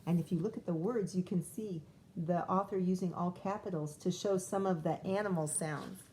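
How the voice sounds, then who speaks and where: A woman's voice: on the low side, moderate pace, mellow, slight background noise. A woman first-grade teacher reading a picture book aloud to young children, expressively drawing out lines and adding chatty asides.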